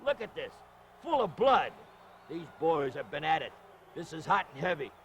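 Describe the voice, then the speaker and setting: High-pitched, creaky male wrestling commentator. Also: big pitch swings, moderate pace, slight background noise. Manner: hyperbolic, exclamatory showman patter. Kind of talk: excited wrestling match commentary.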